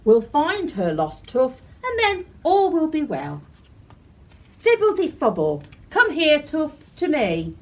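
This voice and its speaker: A woman's voice: high-pitched, huge pitch swings, slow pace, voice pushed up, full character voice. A woman voicing a cheerful, helpful little tooth fairy in an eager, sing-song way for a storybook. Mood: caring, puzzled.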